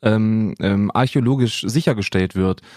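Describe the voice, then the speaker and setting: A man's voice: fairly low pitch, moderate pace, darkish tone, no room sound, studio quality. A man co-hosting a podcast in casual chat, rambling with many fillers and restarts, recorded over a remote call. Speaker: podcast co-host.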